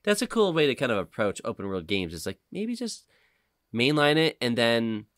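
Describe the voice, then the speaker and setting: A man's voice: mid-range voice, sing-song, normal speed, a bit bright, no echo, clean studio sound. A man chatting informally about video games as a podcast host, with some casual swearing.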